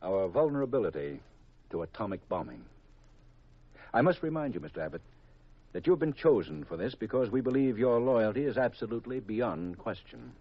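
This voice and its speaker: An actor with an American accent playing a formal, guarded counterintelligence colonel in 1950s radio drama style, measured and grave. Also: male voice, fairly low pitch, big pitch swings, no room sound. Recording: dull old radio recording.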